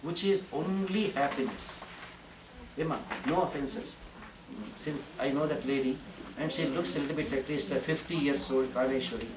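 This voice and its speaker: A man's voice: medium pitch, normal speed, crowd noise. A man from India, giving a sermon as a spiritual teacher, repeating phrases and chanting Sanskrit verses. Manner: repetitive, animated preaching with chanting. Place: ashram hall. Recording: roomy hall, distant mic, audience.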